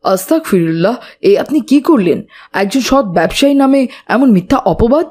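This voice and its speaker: Principled boy for kids' animation, played by a woman: mellow, highish voice, polite and earnest. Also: animated, moderate pace. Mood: reproachful. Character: upright, sensible, pious young boy. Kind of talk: children's moral cartoon dialogue.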